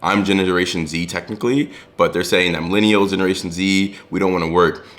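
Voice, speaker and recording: A man's voice: low-pitched, fairly even intonation, quick pace, fairly bright tone. A man in his twenties talking casually and earnestly to his viewers, often calling them guys. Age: 20s. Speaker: vlogger. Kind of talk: casual direct-to-camera talk. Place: Los Angeles.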